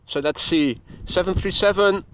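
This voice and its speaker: A man with a Dutch accent hosting a live plane-spotting stream, calmly explaining runway changes and reading out weather reports. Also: sits high, normal speed, poor audio. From Netherlands. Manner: calm, explanatory, chatty, reads out weather reports. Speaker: plane-spotting livestream host. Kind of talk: live commentary. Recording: loud wind buffeting the mic.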